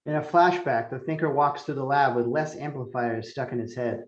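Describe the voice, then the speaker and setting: A man's voice: medium pitch, normal speed, muffled recording. A man reading out the action descriptions of a screenplay as narrator.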